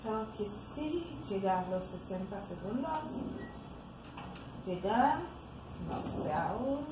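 A young woman from Haiti, speaking with a Haitian accent, talks slowly through a home workout, tired and puffing between her cues. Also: mid-range voice, lively intonation. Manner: slow, breathy puffing between exercise cues. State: tired from exercising. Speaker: fitness vlogger. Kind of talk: casual home workout instruction. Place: living room at home. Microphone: camera on the floor nearby. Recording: echoey room with background noise.

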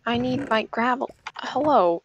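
A woman's voice: a little high, measured pace. A woman in a casual gaming chat, practical and matter-of-fact, over a headset mic. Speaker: gamer.

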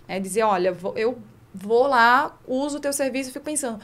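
A woman's voice: on the high side, lively intonation, fairly quick. A Brazilian woman in her thirties giving a casual, emphatic explanation as a podcast guest, close to a studio microphone. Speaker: podcast guest, networking specialist. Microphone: close studio microphone on boom arm.

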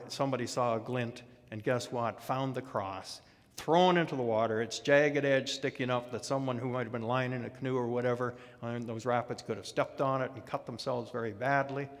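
An older man tells a story to a hall, speaking into a headset mic and often pausing to restart his sentences. His voice sits at a mid pitch. A long reverb from the large room trails behind his words. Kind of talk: storytelling talk. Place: dark auditorium stage.

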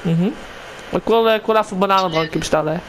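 A man's voice: high voice, fast. A man chatting casually in improvised video game roleplay, acting as a guide to a newcomer. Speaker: roleplay player guiding a newcomer. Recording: clear game noise behind the voice.

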